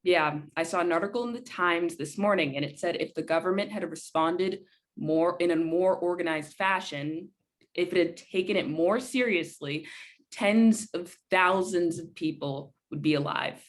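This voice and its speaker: A woman acting in a documentary play as a concerned, gravely disapproving person in conversation. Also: on the low side, moderate pace, close to an everyday voice. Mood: grave disapproval. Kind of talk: documentary theater dialogue, conversational.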